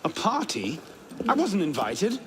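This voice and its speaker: A man's voice: high-pitched, huge pitch swings, a little slow, crowd noise, exaggerated voice. A man playing a brash, flippant young Frenchman in a video game cutscene, teasing as he talks. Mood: breezy, sly.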